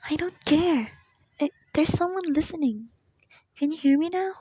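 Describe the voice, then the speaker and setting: A woman's voice: high-pitched, animated, moderate pace, mellow. A woman playing an anxious, demanding invalid wife in a radio play, with an imperious, agitated way of talking. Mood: frightened.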